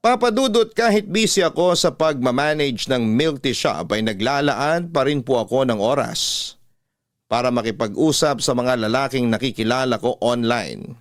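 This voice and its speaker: A man's voice: medium pitch, lively intonation, normal speed, dry recording, studio quality. A man with a Filipino accent narrating a scripted first-person story as a radio drama host.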